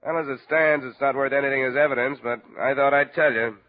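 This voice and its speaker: An American actor playing a cool, probing insurance investigator in a 1950s radio detective drama, speaking in measured, clipped lines. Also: male voice, mid-range voice, steady tone, loud music behind the voice, close to an everyday voice. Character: male insurance investigator, cool, probing hard-boiled detective narrating his case. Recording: muffled, narrow-band old radio recording.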